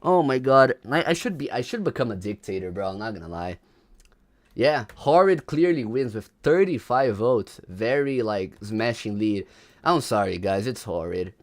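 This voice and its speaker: Animated young streamer, lively pitch. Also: male voice, normal speed. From Brazil. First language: Portuguese. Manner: animated, slangy, chats with viewers. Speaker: livestream host. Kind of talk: casual livestream commentary.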